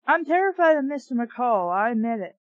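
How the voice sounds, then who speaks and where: A woman's voice: a little high, very animated, brisk, no echo, muffled recording. A woman voicing a nervous, pleading child who tells a storybook tale. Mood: fearful. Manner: fearful, pleading.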